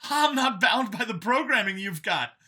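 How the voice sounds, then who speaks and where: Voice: mid-range voice, animated, moderate pace, sharp tone, pitched above the performer's own voice. A narrator voicing a smug, bigoted android copy of a man in an audiobook, with an eager, boastful, emphatic and sneering delivery. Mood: eager, chatty.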